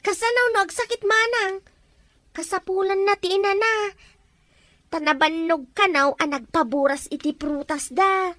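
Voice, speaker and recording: An actress in a radio drama playing a concerned relative who pleads and worries. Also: female voice, extremely high pitch, moderate pace, fairly bright tone, dry recording. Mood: pleading.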